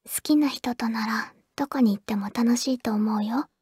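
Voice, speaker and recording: Breathy, bashful anime schoolgirl. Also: on the high side, moderate pace, no echo, clean studio sound. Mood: tender, coy. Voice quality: soft, breathy, whispery. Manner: hesitant, stammering, bashful. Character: shy awkward teenage girl phoning her childhood-friend crush. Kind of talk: anime audio drama, intimate ASMR phone-call acting.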